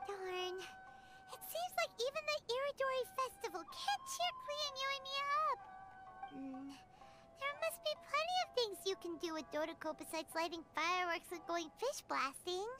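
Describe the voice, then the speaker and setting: A woman voicing a tiny, bubbly, childlike fairy companion in an anime game, squeaky and theatrical. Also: lively intonation, moderate pace, loud music behind the voice. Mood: pouty, scheming.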